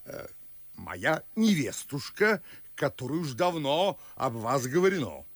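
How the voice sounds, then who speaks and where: Fawning older gent, husky, slow, big pitch swings, dry studio, classic radio play. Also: male voice, big pitch swings, dry recording. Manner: effusive, hesitant, theatrical. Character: fussy, fawning older gentleman host. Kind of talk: classic stage comedy acting for radio.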